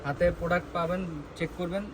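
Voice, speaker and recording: A man with a Bangladeshi accent giving an informal live sales pitch as co-presenter, in short, repetitive announcements. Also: steady tone, fast, background noise.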